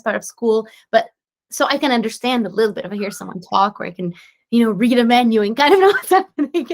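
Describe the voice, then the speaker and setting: A woman's voice: fairly high pitch, fairly bright tone. A woman in her twenties from Slovenia, chatting as a guest in a casual interview, bubbly with many fillers and restarts. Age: 20s. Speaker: guest singer-songwriter.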